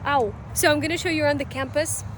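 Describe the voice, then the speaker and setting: A woman's voice: high-pitched, steady tone, fast talker. A young woman in her twenties chatting casually as a vlogger while out in a city, rambling with lots of fillers. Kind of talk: casual travel vlog. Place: outdoors in a city, partly riding a bike. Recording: outdoor street noise and traffic. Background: city ambience and passing vehicles.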